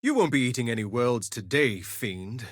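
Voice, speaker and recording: An actor playing a vain, flirtatious gladiator duelist in a video game, with a theatrical, suave and sardonic delivery. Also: male voice, mid-range voice, expressive, moderate pace, dry recording, clean studio sound, natural voice. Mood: cocky, theatrical. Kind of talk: scripted game voice lines, theatrical quips.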